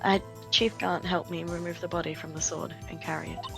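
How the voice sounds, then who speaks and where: A woman voicing a serious chief prosecutor giving measured testimony in a live fan dub of a game. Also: fairly low pitch, little pitch movement, creaky, music in the background. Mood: confessing. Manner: measured testimony, breaks into laughter.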